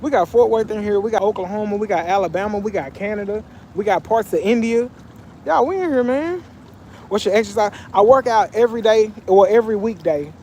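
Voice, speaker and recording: Chatty high-voiced young man outdoors. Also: warm tone, slight background noise. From Texas, USA. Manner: loose, slangy, chatty. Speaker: livestreamer. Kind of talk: casual live chat reading comments. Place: outdoors by a pool. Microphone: phone.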